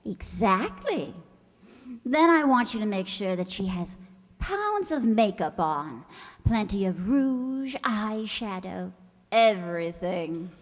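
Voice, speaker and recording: A woman's voice: mid-range voice, big pitch swings, slow pace, long reverb tail. A woman playing a scheming, haughty schoolteacher rival, sly and condescending with false sweetness, in old-time radio comedy style.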